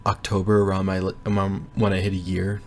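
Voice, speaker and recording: A young man giving a casual, rambling progress update from his bedroom, often pausing to think aloud. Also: deep voice, completely flat delivery, slight background noise. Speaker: language learner vlogger. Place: bedroom at home.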